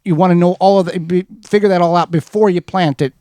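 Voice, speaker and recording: High-pitched American man on talk radio. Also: steady tone, quick pace, warm tone, clear, resonant tone, no echo, clean studio sound. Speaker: radio show co-host. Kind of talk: casual gardening advice chat.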